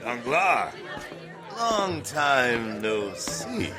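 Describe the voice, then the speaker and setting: An actor playing a tipsy, sardonic drinking companion who teases flippantly in an audio drama, faint bustle behind. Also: male voice, medium pitch, sing-song, extremely slow, music in the background, crowd noise. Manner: teasing, slack, sardonic.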